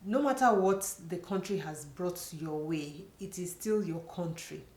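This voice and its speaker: A woman's voice: lowish voice, unhurried, a little mellow. Chatty Nigerian woman in an echoey room. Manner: earnest, chatty, frequent "you know" fillers. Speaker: vlogger. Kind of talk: personal storytelling to camera.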